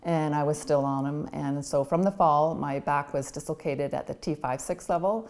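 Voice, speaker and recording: Casting note: woman, forties, low warm voice, candid and reflective, documentary interview. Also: moderate pace. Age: 40s. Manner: candid, reflective, with frequent ums.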